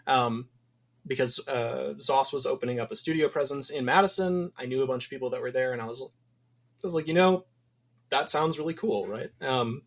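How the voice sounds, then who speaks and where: A man from Wisconsin, a game developer guest in a casual podcast interview, talking thoughtfully with many ums. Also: medium pitch. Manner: thoughtful, rambling with frequent ums.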